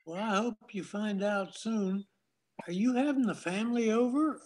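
A man's voice: high-pitched, moderate pace, a little mellow, natural voice, rough recording. A friendly shopkeeper played by an older man greets customers in an old-time radio drama style. He is courteous and honest. The delivery is that of a simple scripted reading. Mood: friendly, sympathetic. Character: kind, honest widowed neighborhood shopkeeper, man. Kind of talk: 1940s radio drama acting, read from script.

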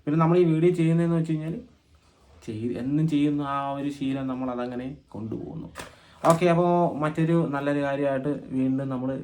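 A man from Kerala hosting an informal explainer vlog, speaking haltingly and often repeating phrases as he thinks aloud. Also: fairly high pitch, darkish tone, a little hiss or hum. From Kerala, India. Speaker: video host and cassette collector.

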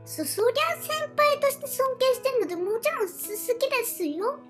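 A woman's voice: extremely high pitch, expressive, moderate pace, fairly bright tone, faint music behind, voice pushed up. A woman voicing a shy, gullible younger schoolgirl with a crush, stammering and protesting politely in a hobby romance voice drama. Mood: shy, flustered.

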